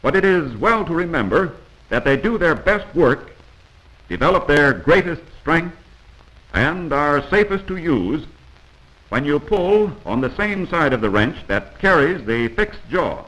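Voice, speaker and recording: A man's voice: on the high side, sing-song, unhurried, a bit bright, poor audio. An American man narrating a 1940s instructional film in a commanding, corrective manner, on a vintage film soundtrack.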